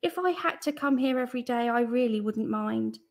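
A woman's voice: a little high, normal speed, close to an everyday voice. A woman voicing an eager young boy character from a storybook, exclaiming keenly. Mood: delighted.

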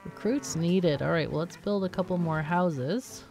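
A woman's voice: lowish voice, unhurried, gravelly, darkish tone. A woman gives casual, unscripted game commentary, thinking aloud and asking herself questions as she plays. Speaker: gaming video host.

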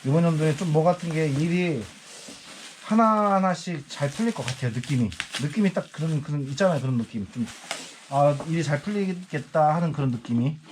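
A man in his forties talks to his viewers while packing parcels, rambling from one thought to the next and sighing to himself. His voice sits a bit high for a man. A steady noise runs behind him. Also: fairly quick. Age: 40s. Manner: rambling, musing aloud, lots of sighing asides. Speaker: small online seller. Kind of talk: casual vlog chat while packing parcels. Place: indoor work space packing parcels.

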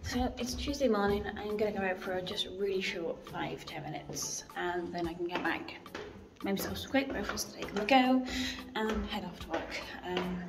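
A woman's voice: medium pitch, moderate pace, over music. A woman, chatting casually through a walking vlog, rambling with lots of "so" and "um", filmed on a handheld phone. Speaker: vlogger. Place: outdoors on town streets and indoors at home. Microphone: phone held at arm's length.

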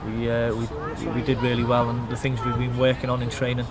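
A middle-aged man, a youth football coach giving a measured, matter-of-fact post-match interview outdoors. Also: medium pitch, completely flat delivery, over a noisy crowd. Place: outdoors beside a grass football pitch.

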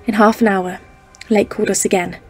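A woman playing a cautious, stern geologist leading an expedition in an audio drama, speaking in a formal, measured, literary way. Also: mid-range voice, fairly even intonation, a little mellow, slightly rough, soft background music, natural voice. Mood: tense, measured. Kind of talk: dramatised narration in an audio play.

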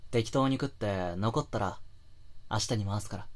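A man playing a gruff but awkwardly caring young boyfriend in an intimate voice drama, with blunt, teasing phrasing and soft breathy asides. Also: fairly low pitch, normal speed, lightly acted. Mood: gently caring. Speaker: online voice actor. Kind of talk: intimate Japanese situation voice drama, boyfriend role-play.

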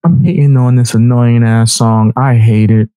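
A man's voice: medium pitch, fairly even intonation, normal speed, dark tone, clean tone, no room sound, faint music behind. A man hosting a casual reaction commentary, talking in a mocking, slangy way. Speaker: reaction show host.

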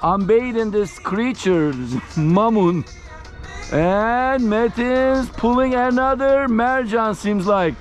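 A middle-aged man hosting a fishing vlog from a small boat at sea, cheering loudly over each fish he catches. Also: sits high, lively intonation, slow pace. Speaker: fishing vlogger host. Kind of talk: casual fishing vlog commentary. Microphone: action camera on the boat. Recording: outdoor wind with music bed.

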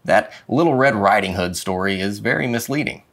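A man reading a children's picture book aloud with dramatic, expressive phrasing. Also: on the low side, brisk, gravelly. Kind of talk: expressive storybook read-aloud. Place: indoor studio with plain dark backdrop.

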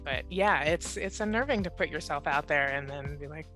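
A woman in her thirties speaks candidly and with self-deprecating humor, her voice sitting notably low for a woman. She is on a headset mic in a home room lined with bookshelves. Clear music plays underneath. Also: moderate pace, fairly bright tone. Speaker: guest writer. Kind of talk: casual interview over video call. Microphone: headset mic on video call.

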